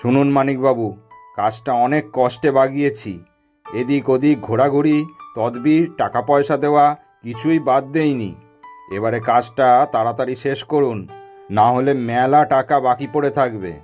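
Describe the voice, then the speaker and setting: A man's voice: mid-range voice, normal speed, a little mellow, soft background music, lightly acted. A man playing a greedy, self-important businessman in a cartoon, demanding and domineering. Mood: demanding, smug. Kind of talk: cartoon voice acting.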